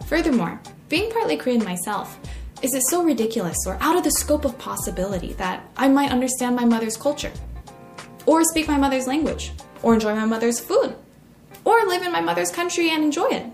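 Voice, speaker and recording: Young female, American, expressive podcast essay narrator, higher-pitched voice, roomy sound. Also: fairly quick. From United States. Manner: expressive, acts out quoted remarks. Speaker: podcast host. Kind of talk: scripted personal essay narration. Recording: roomy echo, faint music.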